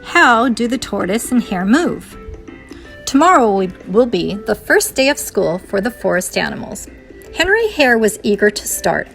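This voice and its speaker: Animated, high-voiced woman reading a storybook. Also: measured pace, vocal fry, faint music behind. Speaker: teacher reading aloud. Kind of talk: children's storybook read-aloud.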